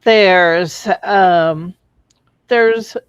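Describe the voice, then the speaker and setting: A woman speaking as a panelist in an online discussion, tentative and halting as she shapes her thoughts. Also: fairly low pitch, clean tone, no room sound, muffled recording.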